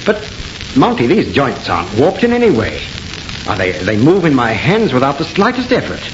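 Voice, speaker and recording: An excitable, clubby gentleman in a vintage radio horror drama. His polite delivery swings very widely in pitch, through a dull, noisy, echoey recording. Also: moderate pace. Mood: curious, admiring. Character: genteel, excitable gentleman friend. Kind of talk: old-time radio horror acting.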